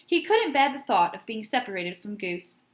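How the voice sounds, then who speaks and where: High, animated woman reading a children's story. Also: moderate pace. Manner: puts questions to young listeners. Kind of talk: expressive children's storybook narration.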